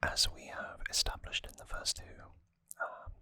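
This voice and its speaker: An adult ASMR host speaks entirely in a breathy, sharp-edged whisper. The delivery is very slow and gentle, rambling with hesitant pauses. It is captured close to the microphone in a dry space. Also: no echo.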